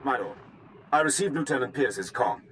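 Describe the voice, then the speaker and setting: An actor voicing a dutiful military general in a video game, giving a briefing in a formal, measured, deferential way. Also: male voice, medium pitch, lively intonation, a bit bright, slight background noise, lightly acted. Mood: formal, businesslike.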